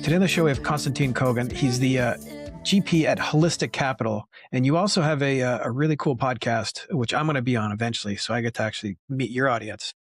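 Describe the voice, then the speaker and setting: A man's voice: medium pitch, quick pace, soft background music. A man hosting a podcast interview in a casual, informal, self-deprecating way.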